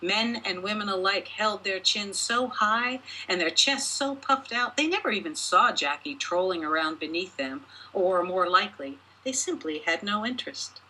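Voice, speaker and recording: Bright, husky woman reading a story. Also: mid-range voice, animated, normal speed, hardly any pauses, low-quality recording. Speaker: author reading own short story. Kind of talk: expressive storybook narration.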